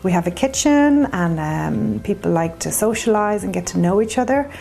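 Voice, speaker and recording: A woman's voice: medium pitch, lively intonation, normal speed, creaky, warm tone. A woman, an adult education tutor, explaining classes in a reassuring way. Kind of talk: interview.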